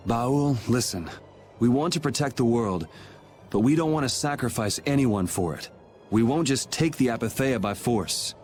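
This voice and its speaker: A mid-pitched young hero from a video game, acted by a man. He sounds casual yet resolute, with music faintly behind. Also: expressive, moderate pace, a little mellow, lightly acted. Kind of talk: English video game voice acting.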